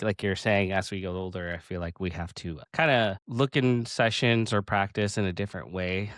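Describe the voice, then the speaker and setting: A man in his late thirties hosting a casual interview, talking in halting bursts with many restarts. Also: sits low, smooth, dry recording, clean studio sound. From Pacific Northwest of the US. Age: 30s. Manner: halting, restarts often, excited laughs. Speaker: podcast host.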